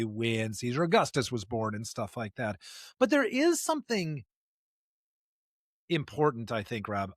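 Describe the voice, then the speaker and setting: A man's voice: medium pitch, fairly bright tone, dry recording, clean studio sound. A man co-hosting a podcast in unscripted theological discussion, speaking emphatically and posing rhetorical questions. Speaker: podcast co-host.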